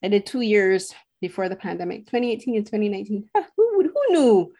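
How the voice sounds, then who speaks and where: A woman from Barbados, a film critic talking at length as a podcast guest, earnest and pausing to search for words. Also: medium pitch, lively intonation, warm tone. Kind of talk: casual podcast conversation.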